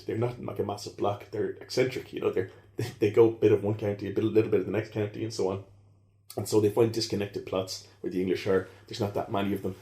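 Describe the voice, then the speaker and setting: Quick-talking man, echoey room. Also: on the low side, dark tone. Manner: fast, rambling with many fillers and restarts. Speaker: historian guest. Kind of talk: casual podcast conversation.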